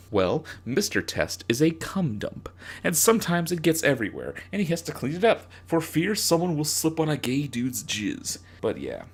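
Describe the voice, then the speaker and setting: A young man narrates a comic reading in a middle-pitched voice. His delivery is sarcastic and crude. He stresses words theatrically, as if presenting something grand. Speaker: narrator reading own fanfiction. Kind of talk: comedic dramatic reading.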